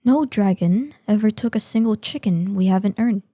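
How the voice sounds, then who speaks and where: A woman's voice: mid-range voice, dark tone, no echo, natural voice. A woman playing a proud, ancient female dragon in human form, speaking formally and imperiously in a scripted fantasy audio roleplay. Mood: haughty, indignant. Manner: imperious, formal archaic diction, measured.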